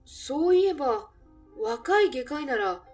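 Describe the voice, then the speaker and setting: Woman acting high lively voiced cafe barmaid, friendly, a little teasing, radio drama, faint music behind. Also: a little slow, recorded from a distance. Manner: expressive, gently teasing, feminine speech. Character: friendly, teasing café barmaid, reticent about herself.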